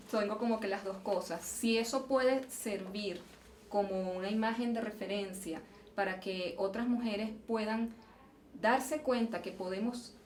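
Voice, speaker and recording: A Venezuelan woman, a geological engineer, answering interview questions in a thoughtful way, often restarting and repeating words. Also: medium pitch. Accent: Venezuelan Spanish. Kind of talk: conversational interview. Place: event venue, indoors. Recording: roomy, distant mic, faint noise.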